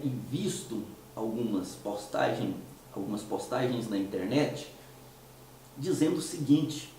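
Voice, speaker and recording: Hoarse mineiro man in an echoey room. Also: medium pitch, dark tone, far from the mic, slight background noise. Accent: Mineiro Brazilian Portuguese. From Minas Gerais, Brazil. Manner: conversational, hesitant, with restarts and rhetorical questions. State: hoarse from a cold. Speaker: host of a commentary show. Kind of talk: casual monologue commentary.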